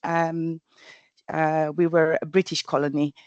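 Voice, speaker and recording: A woman from Zimbabwe, introducing herself as a governor in a reflective, halting way. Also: sits low, little pitch movement, a little mellow, no room sound. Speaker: public governor. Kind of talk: personal introduction at a meeting. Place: remote video call. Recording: dull, lo-fi video call audio.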